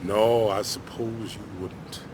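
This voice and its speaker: An actor playing a blunt, folksy, world-weary middle-aged American ex-miner, friendly and wry, in naturalistic video game style. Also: male voice, fairly low pitch, steady tone, slow, noisy background. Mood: weary, wistful.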